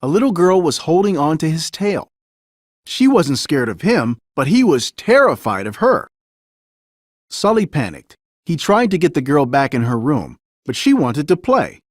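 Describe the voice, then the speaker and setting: Animated male children's storybook narrator. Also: fairly high pitch, dry recording, studio quality. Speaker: storybook reader. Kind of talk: children's story read-aloud, scripted narration.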